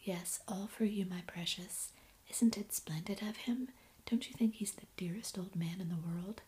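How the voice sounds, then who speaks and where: A woman's voice: medium pitch, moderate pace, a little mellow, natural voice. Spirited tomboy sister, whispered by a woman. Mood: excited. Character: lively spirited teenage girl Joe.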